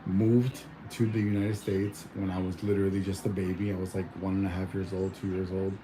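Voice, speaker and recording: A man's voice: low voice, level tone, brisk, background noise. A young man born in Mexico chatting casually at home, rambling with lots of fillers and restarts. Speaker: vlogger. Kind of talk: casual chat.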